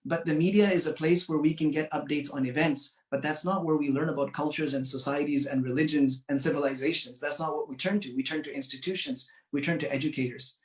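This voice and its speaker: A man from Canada giving an earnest, measured commemorative speech, pausing often with fillers. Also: a little high, fairly even intonation, reverberant, distant mic. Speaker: community speaker.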